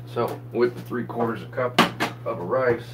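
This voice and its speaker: A man cooking in his home kitchen and chatting with viewers on a live stream, his voice hoarse as he gets sick. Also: medium pitch, normal speed, recorded from a distance, very noisy. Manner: chatty, greets viewers by name while cooking. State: voice going hoarse, getting sick. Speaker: home cook livestreaming. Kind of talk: casual cooking livestream. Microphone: phone camera.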